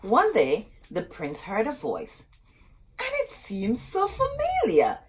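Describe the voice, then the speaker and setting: A woman storyteller reading a storybook aloud expressively, in short dramatic phrases with pauses. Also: mid-range voice, huge pitch swings, slight background noise, poor audio.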